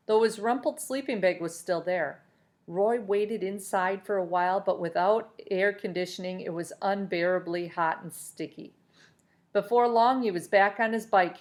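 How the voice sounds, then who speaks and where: A woman reading a children's novel aloud in an expressive storytelling style. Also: medium pitch.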